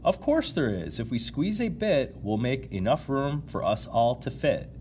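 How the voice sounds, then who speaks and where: Man playing reassuring elder, animated pitch. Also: a little slow, slight background noise. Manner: reassuring, encouraging. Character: reassuring, easygoing elder relative of the boy.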